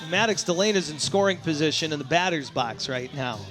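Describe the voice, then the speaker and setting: A man's voice: a little high, lively intonation, fairly quick, over music. A man doing live baseball play-by-play as the announcer, in short, emphatic phrases. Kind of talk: live sports play-by-play commentary.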